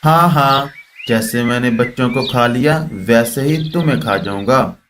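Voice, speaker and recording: Man voicing a slow, swaggering predator bird. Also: mid-range voice, a bit bright, a little hiss or hum, lightly acted. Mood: menacing. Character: menacing male bird of prey, swaggering bully.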